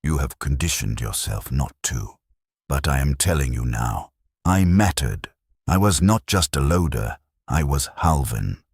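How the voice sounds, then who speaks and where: A man's voice: extremely low pitch, animated, unhurried, breathy edge, no room sound, studio quality, exaggerated voice. A man voicing an earnest, loyal young soldier in a narrated war story, solemn and insistent.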